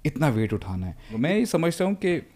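A man in his thirties, a podcast guest who loves the gym, talking earnestly and good-humouredly, with English phrases mixed in, on a studio boom mic. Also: big pitch swings, moderate pace, a little mellow. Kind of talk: casual podcast chat. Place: indoor studio set. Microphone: studio mic on boom arm.

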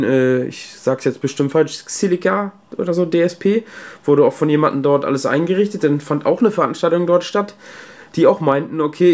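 A man's voice: a little high, darkish tone. A man giving casual, off-the-cuff vlog commentary as a sound technician, in informal colloquial speech. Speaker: video host and PA sound technician. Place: indoor venue rooms and cellar. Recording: background hum and room noise.

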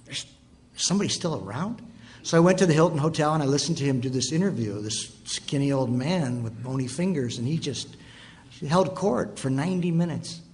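Man in his sixties, mid-pitched storyteller. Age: 60s. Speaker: guest author on a panel. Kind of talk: casual anecdotal storytelling on stage. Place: theatre stage, indoors. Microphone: table microphone on a stand. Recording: live stage pickup, faint noise.

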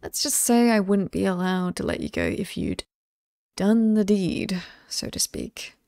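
A woman plays a British slasher killer who is oddly sweet and apologetic. Her voice is creaky and close to the mic in a dry space. She chats wryly, as if confiding to one listener in an ASMR roleplay. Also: mid-range voice, wildly swooping pitch, unhurried, darkish tone, no room sound, full character voice. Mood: friendly, encouraging. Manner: conversational, wry, hesitant asides. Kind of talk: ASMR villain roleplay monologue.

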